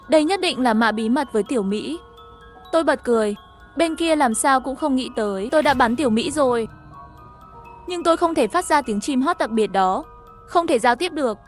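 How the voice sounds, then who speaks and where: Woman w a high voice narrating a first person story, music playing behind.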